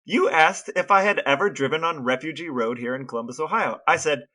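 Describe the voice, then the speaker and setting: A man's voice: on the high side, brisk, sharp tone. An American man co-hosting a podcast in a playful, mock-serious way, part dramatic reading and part casual chat. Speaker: podcast co-host.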